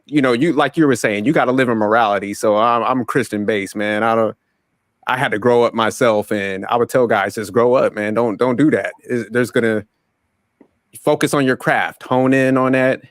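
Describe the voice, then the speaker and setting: A man in his forties hosting a casual panel podcast, talking in a relaxed, rambling way and often repeating words. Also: mid-range voice, normal speed, no room sound, clean studio sound. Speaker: podcast host.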